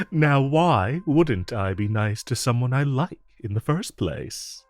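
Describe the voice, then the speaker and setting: A man playing a suave, flirtatious supervillain in a romantic audio roleplay, talking in a smooth, teasing, unhurried way with drawn-out pauses. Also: medium pitch, lively intonation, a little mellow, dry recording, faint music behind. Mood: flirty, reassuring. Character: suave, flirtatious male supervillain visiting his tailor. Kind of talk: romantic audio roleplay acting addressed to the listener.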